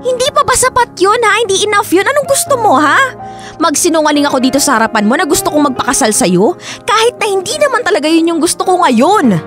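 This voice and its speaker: A woman's voice: extremely high pitch, animated, quick pace, over music. A woman acting a young, defensive but loving girlfriend in a Filipino radio drama, emotional and conversational. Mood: irritated, defensive.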